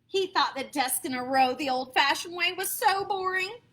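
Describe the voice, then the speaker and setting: An American woman reading picture books aloud to children in an expressive, dramatic way, stepping aside to talk to her listeners. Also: very high-pitched, moderate pace. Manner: expressive, dramatic emphasis, asks listeners questions. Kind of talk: animated read-aloud storytelling for young children, with asides.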